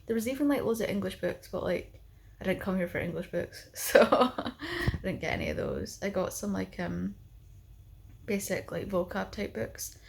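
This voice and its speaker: A woman's voice: medium pitch, sing-song, moderate pace, vocal fry, a little hiss or hum. A young woman vlogging in a casual chat to the camera, rambling and filling her talk with "like" and "um". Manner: rambling, full of "like" and "um".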